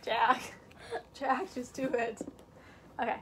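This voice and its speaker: A high-pitched teenage girl chats and jokes through her home vlog, dropping to hushed whispers as she holds things up to the camera. She is recorded close on a built-in computer mic, with faint background noise. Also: lively intonation, takes it slowly. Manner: chatty, joking, self-mocking asides. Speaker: vlog host. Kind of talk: casual chat. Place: indoor home room with shelves.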